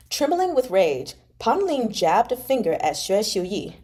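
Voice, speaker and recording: A woman's voice: fairly high pitch, big pitch swings, takes it slowly, warm tone. A woman hosting a live novel read-along, narrating expressively and breaking into informal, slangy asides.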